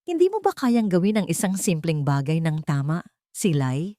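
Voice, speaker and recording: A woman's voice: lowish voice, wildly swooping pitch, normal speed, mellow, no room sound, clean studio sound. A woman with a Filipino accent voicing a cold, scornful, belittling businessman husband in an audiobook.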